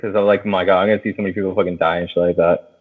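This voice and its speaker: Phone-like, low-voiced man chatting casually. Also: flat delivery, very fast. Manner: sweary, filler-heavy, enthusiastic. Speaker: podcast host. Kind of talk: casual movie review chat. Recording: thin phone-like audio.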